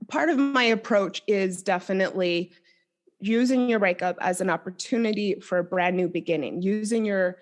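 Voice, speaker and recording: A woman's voice: mid-range voice, normal speed. A woman speaking as a coach and podcast guest, explaining her approach conversationally and thoughtfully.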